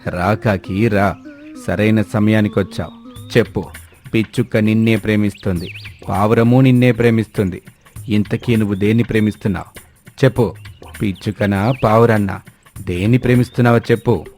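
Man as an angry, deep scolding eagle. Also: steady tone, moderate pace, long pauses, darkish tone, close to an everyday voice. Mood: stern demanding. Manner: scolding, demanding. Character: angry, threatening eagle bossing smaller birds. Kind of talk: children's cartoon story dialogue. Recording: music bed under the voice.

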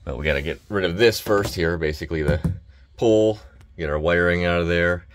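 A man in his thirties working on a boat, explaining each repair step in a relaxed, casual way. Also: sits low, a little fast, a little hiss or hum. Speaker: boat mechanic. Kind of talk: casual how-to narration while working. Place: inside a boat cabin.

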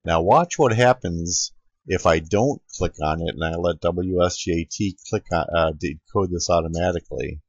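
A man giving an unscripted screen-recording tutorial as an amateur radio operator, explaining step by step in a halting way. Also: low voice, husky, dry recording. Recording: dull, lo-fi home computer recording.

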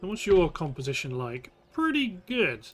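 A man's voice: high voice, very animated, moderate pace. A British man giving casual let's play commentary on a strategy game, thinking aloud in short remarks. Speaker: gameplay host.

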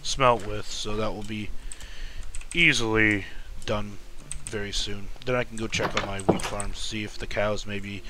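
Man, deep voice, quiet, rambling gameplay commentary, noisy home mic. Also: fairly even intonation, unhurried. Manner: soft-spoken, rambling, self-correcting. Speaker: let's-play gamer.

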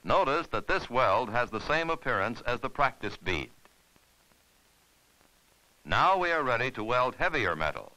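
Bright, forceful male instructional narrator. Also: medium pitch, very animated, measured pace, long pauses, rough recording. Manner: clear step-by-step instructing, formal.

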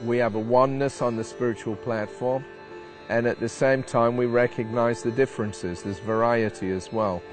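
Interview guy from england, calm and thoughtful, medium pitch, music playing behind. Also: normal speed. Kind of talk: interview answer.

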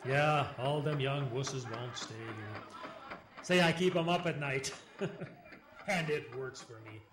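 An actor playing a gentle, wry old Métis trapper in an audio drama, folksy and plain-spoken. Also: male voice, mid-range voice, takes it slowly, music in the background, lightly acted. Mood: wry, amused. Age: older. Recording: roomy sound with background noise and ambience.

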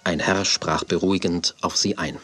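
A low male voice narrates a children's audio drama from a script. Music plays clearly behind the storyteller. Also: fairly even intonation, moderate pace, fairly bright tone.

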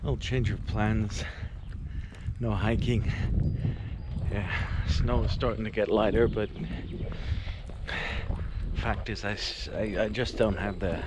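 Slow-talking man vlogging at a campsite. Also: on the low side, steady tone, very noisy. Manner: plain, matter-of-fact commentary to camera. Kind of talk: casual camping vlog. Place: campsite, in a tent and out in a snowy field. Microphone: handheld action camera at arm's length.

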